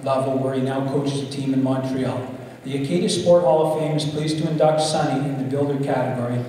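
A man's voice: fairly high pitch, darkish tone. A middle-aged man reads a formal citation from a lectern on a large theatre stage, now and then tripping over a word and fixing it. The sound is roomy, with a long reverb off the hall, and the voice is picked up from some way back. A faint room hum sits underneath. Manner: reads a citation, with some stumbles and self-corrections. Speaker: athletic director giving induction remarks. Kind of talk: formal speech read at a lectern. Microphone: lectern mic on a stand. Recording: echoey hall, mic heard from a distance.

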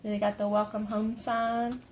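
Slow-paced mother reading a bedtime story. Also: mid-range voice, little pitch movement, darkish tone, a little hiss or hum. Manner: simple explanatory asides to a young child. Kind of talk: storybook read-aloud. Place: small bedroom, indoors.

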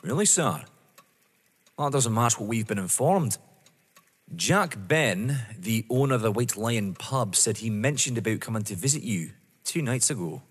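In a reverberant hall, a man plays a detective inspector in an audio drama. He is courteous and deferential but keeps probing, with measured phrasing and widely swinging intonation. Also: mid-range voice, expressive, breathy edge. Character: polite, persistent male British police detective inspector.

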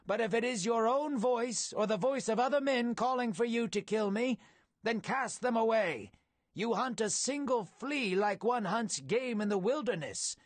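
Man acting a bold young warrior, shouting high. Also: animated, moderate pace, dry recording. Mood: pleading defiance. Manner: loud, declamatory shouting. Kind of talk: dramatic storybook acting.

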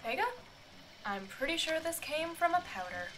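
A woman's voice: sits high, very animated, moderate pace, bright, forceful tone, slight background noise, full character voice, poor audio. A woman playing a snappish, sarcastic young airship pirate captain with a short temper in a radio drama. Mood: irritated.

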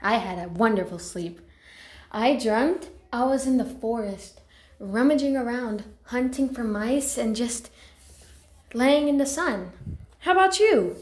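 A woman voicing a friendly, excitable young female house cat with an earnest, slightly hesitant delivery, in amateur cartoon voice lines. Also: fairly high pitch, a little slow. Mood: cheerful, chatty. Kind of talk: amateur character voice lines, acted. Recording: echoey room.

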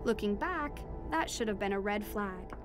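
A woman playing a marine biologist's daughter, telling her undersea adventure in measured, dramatic first-person narration. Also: medium pitch, lively intonation, music in the background, close to an everyday voice. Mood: reflective.

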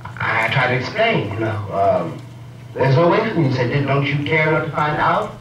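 A man telling a reflective story in a measured, ironic way, heard from an old film clip. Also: medium pitch, very animated, fairly bright tone, roomy sound, far from the mic, lo-fi sound, slight background noise. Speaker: speaker in an archival film clip. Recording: old film clip played in a room from afar.

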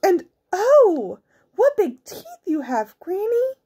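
A woman's voice: sits high, sing-song, measured pace, mellow, voice pushed up. A woman voicing an innocent, wide-eyed little girl in a storybook, full of wondering exclamations. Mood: wide-eyed, nervous.

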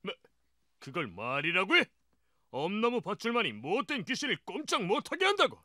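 A woman voicing the bossy leader of a band of small guardian spirits, giving brisk orders in a children's storybook cartoon. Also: medium pitch, huge pitch swings, sharp tone, no echo, clean studio sound, pitched below the performer's own voice, heavily acted. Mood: scolding. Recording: music under the voice.

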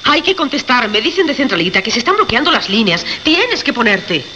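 A harried, insistent woman in a radio suspense play. Her voice is bright and very animated. The sound is dull, with faint noise. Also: fairly high pitch, brisk. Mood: urgent. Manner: urgent, pleading. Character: insistent, harried female radio station colleague. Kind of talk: Spanish radio suspense drama acting.

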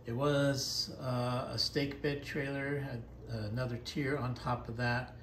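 Man, slow unhurried delivery, plain-spoken with lots of ums, garage walkaround, faint background hum. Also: mid-range voice, level tone, a bit bright. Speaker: video creator showing his modified boat. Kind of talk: casual walkaround show-and-tell. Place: indoor home garage.

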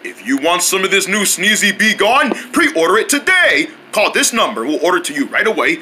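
A man voicing a pushy, upbeat infomercial pitchman in a cartoon parody commercial, stretching key words for emphasis. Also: very animated, a little fast, sharp tone, a little hiss or hum, exaggerated voice, low-quality recording. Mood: salesy.

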